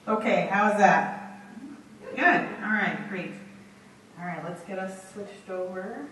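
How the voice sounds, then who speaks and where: A woman giving a public talk as guest speaker, relaxed and conversational. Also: medium pitch, slow pace, a little hiss or hum. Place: auditorium. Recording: echoey hall, distant mic.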